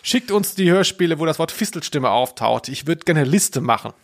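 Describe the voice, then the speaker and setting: A man's voice: on the high side, expressive, fairly quick. A man co-hosting a podcast, talking casually in a jokey, tongue-in-cheek way. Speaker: podcast host.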